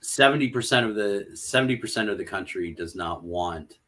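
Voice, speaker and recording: A man with a somewhat low voice, speaking in a casual podcast chat. He explains points in a matter-of-fact way, pausing on uh and um as he goes. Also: animated, fairly bright tone.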